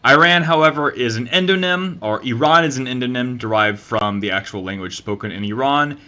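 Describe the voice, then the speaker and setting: Casting: young Southern US man, bright forward tone, relaxed YouTube explainer with lots of ums. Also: mid-range voice, fairly quick, highs cut off. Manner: chatty, conversational, many ums and uhs. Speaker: YouTube channel host. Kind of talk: casual history commentary.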